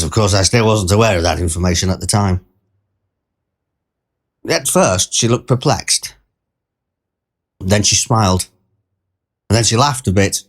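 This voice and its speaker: A man narrating a first-person short story in a conversational, wry and reflective way. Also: on the low side. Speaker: storyteller, performer.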